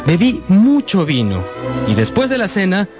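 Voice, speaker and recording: An actor playing an earnest, sentimental young gentleman telling his own life story in a radio drama. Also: sits high, wildly swooping pitch, normal speed. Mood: reminiscing. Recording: clear music behind the voice.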